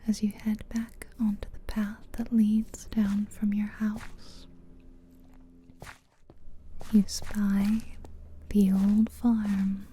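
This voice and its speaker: A woman doing a soft-spoken ASMR guided visualization in a breathy whisper, soothing, with pauses between short phrases, close to the mic. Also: medium pitch, little pitch movement, a little hiss or hum. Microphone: close stereo ASMR microphone. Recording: close ear-to-ear mic, music behind.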